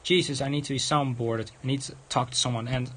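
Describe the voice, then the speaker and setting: A man livestreaming a visual novel, reading its text aloud in a halting, stumbling way and adding off-script comments. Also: mid-range voice, fairly even intonation, a bit bright, a little hiss or hum. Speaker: game livestreamer. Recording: music behind the voice.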